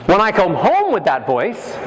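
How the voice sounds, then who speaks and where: A man giving a motivational keynote as a voice coach, animated and playful, acting out examples with his voice. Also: high voice, moderate pace, noisy background. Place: large hall. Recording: echoey hall, faint ambience.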